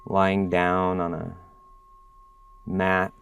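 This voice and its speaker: A man leads a guided meditation in a low, level voice. He speaks very slowly and gently, leaving long soothing pauses between short phrases. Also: monotone, creaky, smooth. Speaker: mindfulness instructor. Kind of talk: guided body scan meditation.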